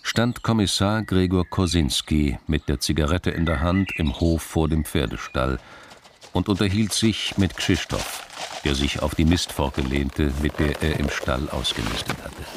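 A man's voice: extremely low pitch, a little slow, noisy background. A man reading scripted literary narration for a radio crime drama.

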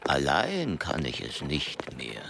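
A man acting an ageing, world-weary male scholar in a German radio play, musing slowly as if half to himself. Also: extremely low pitch, huge pitch swings, creaky, background noise, exaggerated voice. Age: older. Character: ageing male scholar, world-weary, bitter about family, oddly light.

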